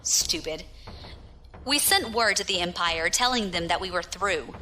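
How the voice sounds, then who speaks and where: A woman's voice: on the low side, expressive, measured pace, pushed and bright, a little hiss or hum. An actress playing a battle-hardened, proud young female pirate captain with a wry storytelling manner in a science fiction audio drama. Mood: scornful.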